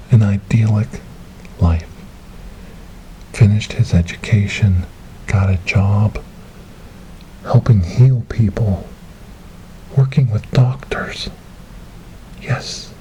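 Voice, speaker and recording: Slow, whispery, grieving man acted by a man. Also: on the low side, a little hiss or hum, rough recording. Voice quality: breathy, often whispered. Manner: slow, halting, earnest, soft-spoken. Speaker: ASMR storyteller. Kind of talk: ASMR dramatic monologue. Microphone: close binaural microphone.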